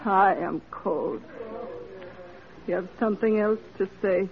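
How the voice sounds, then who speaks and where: An actress playing a weary, bitter, cold woman caged in a madhouse, gravelly and terse, in an old-time radio drama. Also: mid-range voice, slow. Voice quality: creaky, gravelly. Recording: muffled, noisy vintage radio recording.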